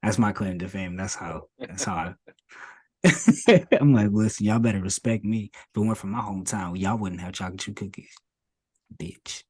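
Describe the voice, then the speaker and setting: A young man from south of Boston with a Boston accent, co-hosting a casual podcast, animated and laughing often as he slips into put-on voices. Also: fairly low pitch, normal speed, husky. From Massachusetts, south of Boston. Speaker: podcast co-host.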